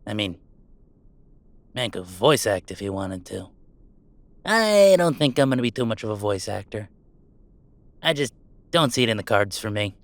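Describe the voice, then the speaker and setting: A man's voice: fairly low pitch, expressive, moderate pace, pauses often, no echo. A man voicing a playful, self-deprecating werewolf streamer in a one-sided roleplay audio drama, full of teasing banter and dramatic sarcasm. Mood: easygoing. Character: wisecracking young male werewolf streamer, affectionate and self-deprecating.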